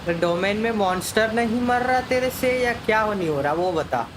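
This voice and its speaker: A man's voice: high-pitched, lively intonation, very fast, noisy background. A man with an Indian accent chatting casually as he streams a game live.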